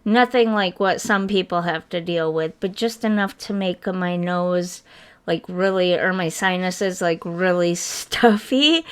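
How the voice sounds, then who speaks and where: A woman narrating a game build video in a casual, rambling way, her voice a little rough and stuffy from congestion. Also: fairly low pitch, unhurried. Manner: chatty and apologetic, rambling with fillers. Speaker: gaming video creator. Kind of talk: casual voice-over commentary over a speed build.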